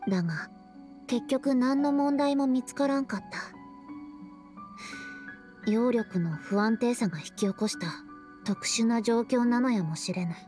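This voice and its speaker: A solemn youkai girl with a high voice played by a woman, speaking in formal, old-fashioned phrases like a little storyteller. It is anime game acting over soft music. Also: a little slow, pauses often, close to an everyday voice. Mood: solemn, explaining. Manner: formal, archaic speech. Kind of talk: Japanese anime-style game dialogue and narration.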